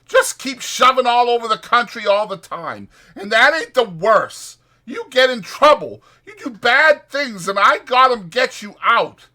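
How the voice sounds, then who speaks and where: A man's voice: very high-pitched, expressive, unhurried, few pauses, sharp tone, voice pushed up, exaggerated voice. A man voicing a short-tempered, exasperated migrant ranch worker, scolding in slangy rural American working talk. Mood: furious ranting.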